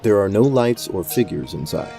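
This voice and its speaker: Male game master narrating fantasy, lowish pitch, dark mellow tone, soft music underneath. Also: expressive, normal speed, vocal fry.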